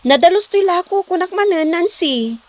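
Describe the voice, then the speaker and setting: A woman's voice: very high voice, expressive, moderate pace. A woman playing a persistent, proud young noodle vendor in an Ilocano comedy drama, sing-song and plaintive, with an Ilocano accent. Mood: offended, defiant.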